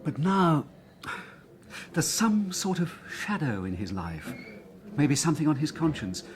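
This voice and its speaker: Wry, expressive gentleman. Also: a little high, measured pace. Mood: wry, confiding. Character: urbane gossiping actor, melancholy and knowing. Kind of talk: period radio drama acting.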